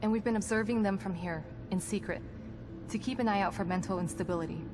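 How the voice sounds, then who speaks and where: A composed female psychologist from a video game cutscene, played by an actress. She is guarded and clinical, choosing precise, formal words at a middling pitch. Music plays clearly behind her. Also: quick pace, lightly acted. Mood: sober, analytical. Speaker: psychologist character in a horror game. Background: background music.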